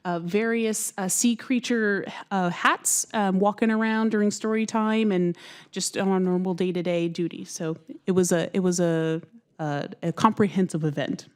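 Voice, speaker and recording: A woman's voice: medium pitch, fairly even intonation, normal speed, clear, resonant tone. Woman staffer reporting at a board meeting. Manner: hesitant when answering, many restarts.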